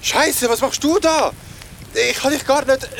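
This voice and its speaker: An actor playing a sceptical but caring young male friend, speaking colloquially and excitably in an audio drama. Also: extremely high pitch, a little slow, gravelly, slight background noise, exaggerated voice. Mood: alarmed.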